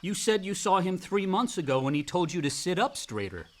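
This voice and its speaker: An actor playing a brash, loyal younger son in a radio play, blunt and informal. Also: male voice, high-pitched, very animated, brisk. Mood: worried.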